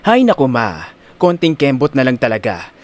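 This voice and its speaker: A man's voice: mid-range voice, huge pitch swings, normal speed, pitched below the performer's own voice. A man voicing a greedy, flamboyant young daughter in an audio drama, with sassy slang and theatrical exclamations. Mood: gleeful scheming. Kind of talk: audio drama character acting.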